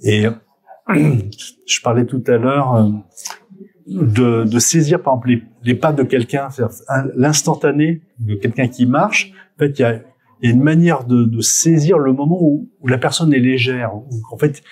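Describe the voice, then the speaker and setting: A man's voice: mid-range voice, normal speed, a little mellow, slightly rough. Middle-aged Frenchman reminiscing, hesitant and self-correcting. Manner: hesitant, self-correcting, with frequent word repetitions. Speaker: photographer being interviewed. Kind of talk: spontaneous interview answers, reminiscing.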